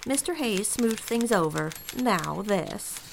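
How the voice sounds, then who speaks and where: Woman playing a brisk, creaky-voiced office secretary, noisy background. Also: medium pitch, expressive. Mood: candid, pressing. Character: brisk, candid 1950s-style female office secretary on the phone. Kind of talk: scripted audio drama dialogue. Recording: clear rustling, clicking and typing noise.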